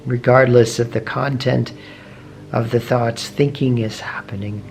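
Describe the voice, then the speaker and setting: A man guides a meditation at a very slow, measured pace. His medium-pitched voice has plenty of gravelly fry. He speaks gently in short phrases with long pauses, over soft music. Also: steady tone. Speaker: meditation teacher.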